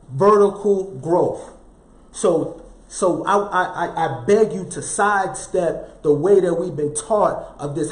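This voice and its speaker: A man's voice: a little high, a little mellow. Preachy motivational man in an echoey room. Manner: preachy, rhetorical questions, repeats key phrases; casual slang asides to viewers. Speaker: motivational speaker. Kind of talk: motivational self-help talk to camera.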